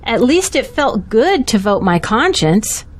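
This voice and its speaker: An actress playing a gentle, hopeful churchgoing woman in an audio drama, with a wistful way of talking. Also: a little high, very animated, a little slow. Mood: disappointed, wistful.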